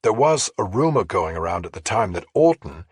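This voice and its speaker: Deep, husky male audiobook narrator. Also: very animated, moderate pace, a little mellow, dry recording, studio quality. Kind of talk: scripted first-person crime thriller narration.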